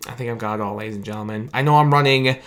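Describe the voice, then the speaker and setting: A man's voice: medium pitch, very fast, a bit bright. Exhausted young man losing his voice. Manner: rambling, repetitive, grateful sign-off. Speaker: sports fan video host. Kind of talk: late-night post-game reaction monologue. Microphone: camera mic with his own light.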